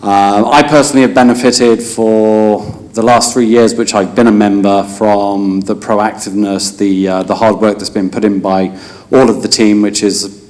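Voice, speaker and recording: Low-pitched man from England, loosely improvised candidate speech, halting and self-deprecating. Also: steady tone, a little mellow. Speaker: election candidate. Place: hotel function room.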